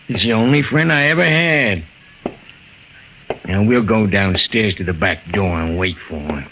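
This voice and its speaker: An actor playing a slow-witted, loyal night watchman with a halting, stammering delivery in 1940s radio style. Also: fairly low pitch, sharp tone. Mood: wistful, simple. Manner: simple, halting, repeats words and stammers. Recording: noisy, crackly 1940s radio recording.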